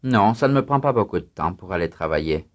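A man reading scripted student answers and exercise announcements in a language drill, careful and even. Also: deep voice, a little fast, dull audio.

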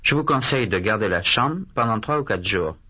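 A calm doctor, read by a man for a language lesson, his lowish voice bright and a little husky. Every phrase comes out slowly and carefully so it can be repeated. The recording sounds dull, like an old course tape. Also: exaggerated voice. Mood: measured. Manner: slow, clearly articulated, repeated phrases. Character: calm adult male doctor. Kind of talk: scripted language drill dialogue.

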